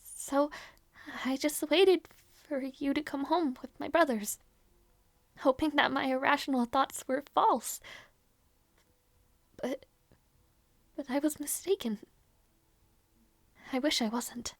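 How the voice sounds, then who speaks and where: A woman acts out a gentle young princess in an audio roleplay, with a very high, delicate voice. She speaks slowly and hesitantly, as an anxious, tender-hearted sister. The sound is dry and intimate. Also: no room sound. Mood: soft, wistful. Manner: soft, hesitant, halting delivery. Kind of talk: audio roleplay drama, intimate one-sided dialogue.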